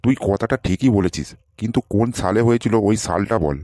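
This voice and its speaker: A man's voice: lowish voice, fast talker, warm tone, dry recording, studio quality, natural voice. A man voicing an irritable, sarcastic boss in a comedy cartoon, scolding and dismissive. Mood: stern, questioning. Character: irritable, sarcastic male boss interviewing job seekers.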